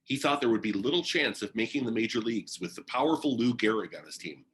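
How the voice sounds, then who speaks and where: A man reads a children's picture-book biography aloud with a lively, varied pitch. He phrases deliberately, pausing between short groups of words. A faint layer of background noise sits behind his voice.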